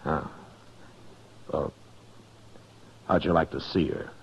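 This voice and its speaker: An actor playing a calm, authoritative, weary frontier marshal with an American accent, in 1950s radio western style on a muffled old recording. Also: male voice, very deep voice, slow, a little hiss or hum. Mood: firm, gentle.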